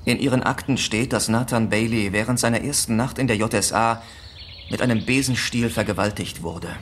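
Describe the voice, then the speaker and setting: An actor playing a dogged police sergeant in a German radio drama, probing, blunt and sarcastic. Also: male voice, on the low side, brisk, fairly bright tone, a little hiss or hum, natural voice. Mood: stern, sarcastic.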